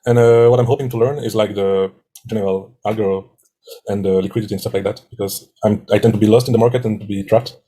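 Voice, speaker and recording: Low husky man from Belgium. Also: steady tone, fast talker, dark tone. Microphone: video call.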